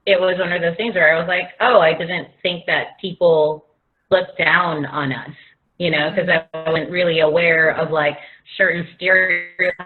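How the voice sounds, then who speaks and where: Lower-voiced woman on a thin call line. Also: fairly even intonation, normal speed. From Philippines. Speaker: guest, chef. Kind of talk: casual reflective conversation. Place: remote video call. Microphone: video call. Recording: thin phone-like video call audio.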